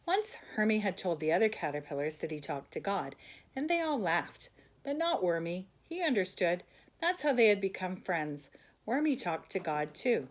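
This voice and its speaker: An older woman reads aloud to children with lots of expression. Her voice sits a little low for a woman but moves widely up and down, in the animated style of a grandmother's storytime. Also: normal speed. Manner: expressive, asks the listeners questions.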